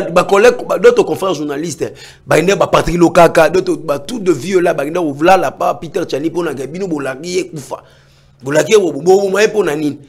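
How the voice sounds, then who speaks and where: A man hosting a political talk show, talking in a rambling, halting way. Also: a little high, slightly rough.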